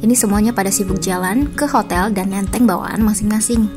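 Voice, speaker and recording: A woman's voice: mid-range voice, brisk. An Indonesian woman giving a casual voice-over narration for a family travel vlog. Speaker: vlogger narrating her family trip. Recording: music under the voice.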